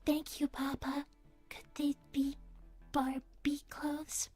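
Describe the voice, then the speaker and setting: Casting: female performer as polite artificial-intelligence daughter, childlike high voice, slow and pleading, faint music underneath. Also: monotone, a little mellow. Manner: stilted, careful, plaintive. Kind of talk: in-character livestream chat.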